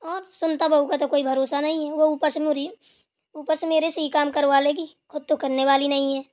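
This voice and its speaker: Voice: sits high, flat delivery, fairly quick, a little mellow, no room sound, clean studio sound, natural voice. A performer voicing a dutiful, overworked village daughter-in-law in a Hindi cartoon, chatty and deferential. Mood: worried, grumbling. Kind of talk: Hindi family cartoon dialogue.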